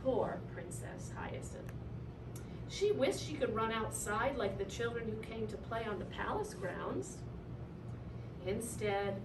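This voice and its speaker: Echoey, animated woman reading a children's story. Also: fairly high pitch. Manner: animated, deliberate, dramatic emphasis. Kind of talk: expressive read-aloud of a children's picture book. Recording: echoey room, distant mic, clear noise.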